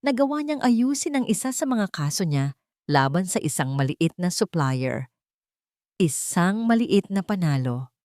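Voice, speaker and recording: Filipino lady reading a dramatic audiobook, lower voice, really dry close sound. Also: sing-song, a little slow, a little mellow, no room sound. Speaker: audiobook narrator. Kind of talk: dramatic scripted story narration.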